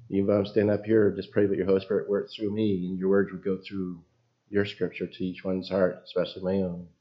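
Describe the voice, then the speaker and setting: A man with a very low voice leading prayer and giving an informal sermon in a small church, reading scripture and losing his place now and then. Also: flat delivery, fairly quick, muffled recording. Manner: folksy, loses his place and apologizes. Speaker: lay preacher. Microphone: PA microphone.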